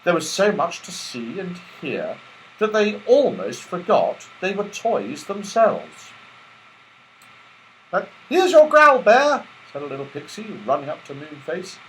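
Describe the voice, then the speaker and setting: Male children's story reader, expressive and animated, high voice, slight noise behind. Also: a little slow, low-quality recording. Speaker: audiobook reader.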